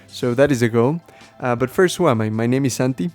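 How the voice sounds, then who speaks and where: A man whose first language is Spanish, explaining things casually and haltingly as a podcast host, thinking aloud as he goes. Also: medium pitch, a little mellow, faint music behind. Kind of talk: casual unscripted explaining to listeners.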